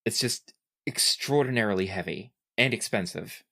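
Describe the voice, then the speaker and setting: A man's voice: fairly low pitch, lively intonation, normal speed, gravelly, bright, forceful tone, heavily acted. A man voicing a shy, eager young female gecko inventor who talks in modest, hesitant bursts. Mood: thoughtful. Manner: hesitant, modest, stammering repeats.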